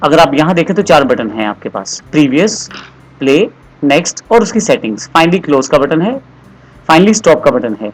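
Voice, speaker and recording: A man narrating a step-by-step software tutorial in an explanatory, instructional way, repeating his instructions. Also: fairly high pitch, sing-song, normal speed, husky, low-quality recording.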